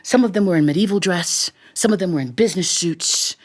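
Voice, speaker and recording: A woman telling a story live as a storyteller, with a theatrical, expressive delivery. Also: on the low side, brisk. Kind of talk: live storytelling performance for an audience.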